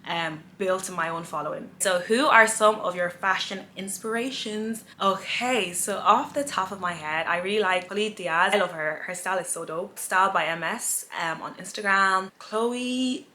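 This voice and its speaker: A woman's voice: medium pitch, moderate pace, hardly any pauses, sharp tone, slight background noise. A woman in her twenties from Nigeria, chatting casually and giggling as she answers viewer questions in a sit-down vlog. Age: 20s. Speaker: influencer, vlogger.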